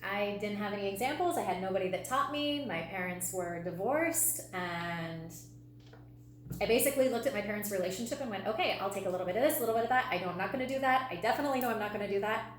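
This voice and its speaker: A woman's voice: medium pitch, expressive, fast talker. A woman co-hosting a relationship podcast, talking conversationally and emphatically with rhetorical questions and lists. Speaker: podcast co-host and relationship coach. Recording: echoey room, faint hum.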